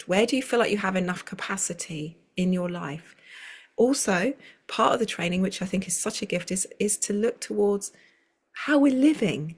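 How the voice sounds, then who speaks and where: A woman speaks as a guest over a video call, her pitch sitting in the middle range. Her talk flows on and rambles, with frequent restarts. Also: husky. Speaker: circle facilitator, guest. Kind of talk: conversational interview.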